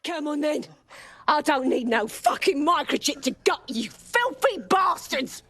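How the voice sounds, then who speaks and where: Vicious british villain lady, high swooping voice, taunting, sweary, game cutscene. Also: expressive, a little slow, a bit bright, dry recording, full character voice. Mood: furious, taunting. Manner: taunting, aggressive. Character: defiant, foul-mouthed, violent female villain.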